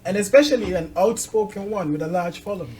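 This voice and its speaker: A woman's voice: medium pitch, quick pace, mellow. A woman hosting a casual, bantering interview. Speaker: host. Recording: distant mic with background noise.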